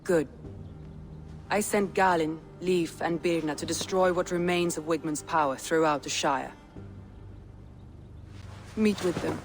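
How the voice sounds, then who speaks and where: A woman voicing a proud, wary Viking clan leader in a video game, with deliberate, solemn delivery. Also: low-pitched, steady tone, faint music behind. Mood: resolute. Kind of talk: cinematic video game acting.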